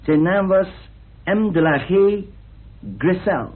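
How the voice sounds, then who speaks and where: An actor playing a methodical, sceptical researcher with a deliberate, exact manner in a radio drama. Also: male voice, high voice. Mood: reporting. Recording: muffled, tinny old radio recording.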